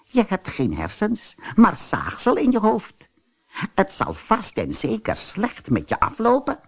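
A man's voice: sits high, wildly swooping pitch, a little slow, breathy edge, pitched above the performer's own voice, heavily acted. A man voicing a very old talking cricket in a storybook, solemnly scolding and warning. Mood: pitying, scolding.

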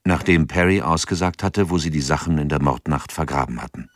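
An actor as a sober chronicler, narrating a documentary radio drama from a script. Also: male voice, rumbling low, fairly quick. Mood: sober, factual. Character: sober male chronicler of a crime case.

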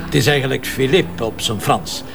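A man's voice: mid-range voice, moderate pace, gravelly, over music. A man with a Flemish accent playing a stubborn, wry police commissioner in a detective audio drama, speaking informally and musingly. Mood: businesslike, insistent. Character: dogged male police commissioner, stubborn, hunch-driven, wry.

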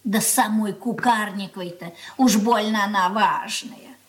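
A woman's voice: medium pitch, measured pace, slight background noise, lightly acted. An actress playing a simple, flustered mother of grown children, with anxious, hesitant, folksy speech in a Soviet radio play. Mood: anxious, homely. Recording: roomy 1980s radio drama studio sound.

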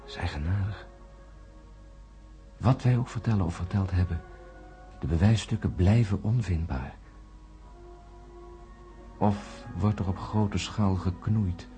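Grim astronaut narrator, deep voice, measured, ominous radio play storytelling, music behind. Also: male voice, dark tone. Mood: grave, reflective. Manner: grave, measured. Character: grim astronaut Peter recounting his ordeal and warning of doom. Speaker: narrator and main character. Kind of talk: dramatic first-person radio drama narration.

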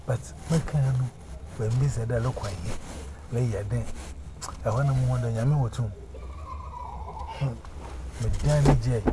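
A man with a Ghanaian accent, preaching and giving testimony, emphatic and often repeating words in chanting runs. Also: mid-range voice. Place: outdoors on a busy street. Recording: noisy street recording.

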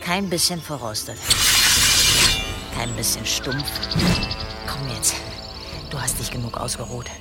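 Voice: medium pitch, animated, a little slow, pauses often, over loud music. An actor playing an obsessive, brooding killer in a German radio play, muttering tenderly and menacingly to a weapon. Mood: sinister, eager. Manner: muttering, tender, sinister, talking to self. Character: obsessive brooding killer crooning to a buried blade.

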